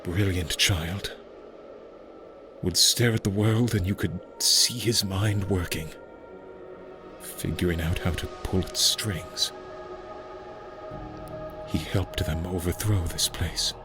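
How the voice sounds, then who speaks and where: An actor playing a grieving uncle who once kept an orphanage, speaking slowly in a hushed, halting way. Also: male voice, deep voice, fairly even intonation. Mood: grave. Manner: slow, hushed and halting, rising to anguish. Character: grieving older male orphanage keeper, haunted. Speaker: uncle, former orphanage caretaker. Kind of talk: fan audio drama acting. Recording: music score behind.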